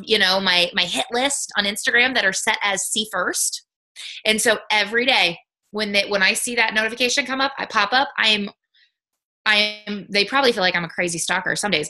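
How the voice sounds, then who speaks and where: A woman talking casually on a video call as a guest, upbeat and chatty, with many quick restarts. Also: medium pitch, bright, forceful tone. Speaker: guest sales ambassador. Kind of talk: casual live-stream chat.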